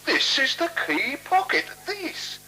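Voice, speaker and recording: An actor playing a bumbling, stubborn male hotel keeper in a 1970s radio play, muttering and halting, defensive, on an old radio recording. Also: very high-pitched, sing-song, bright, forceful tone, faint music behind, heavily acted. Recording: hissy, humming 1976 radio recording.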